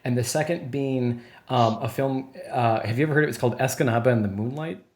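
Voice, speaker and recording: A man's voice: mid-range voice, fast talker. Chatty man with a Wisconsin accent. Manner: chatty, animated, swears casually. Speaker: podcast host. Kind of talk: casual chat.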